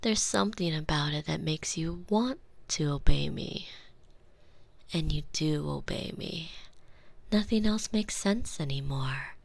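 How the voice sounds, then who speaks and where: A woman whispering slow, commanding hypnosis ASMR with a soft, breathy voice. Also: low-pitched.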